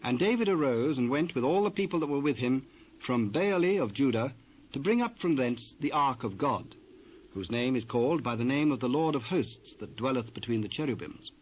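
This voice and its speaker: A man's voice: medium pitch, expressive. British male narrator, muffled old tape. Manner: measured, formal. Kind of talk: scripted narration, dramatised Bible reading. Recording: dull, noisy old cassette.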